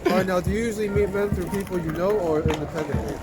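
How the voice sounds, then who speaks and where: An American man with a somewhat high-pitched voice works as a casual interviewer. He speaks in short, informal questions and prompts. Loud music competes with his voice throughout. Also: very fast, a crowd in the background.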